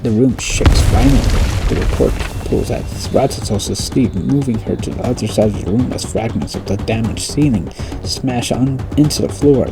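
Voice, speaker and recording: A man's voice: medium pitch, moderate pace. A man playing a game and casually reading its story aloud, with slips and restarts. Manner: relaxed, reads narration with slips and restarts. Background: game music.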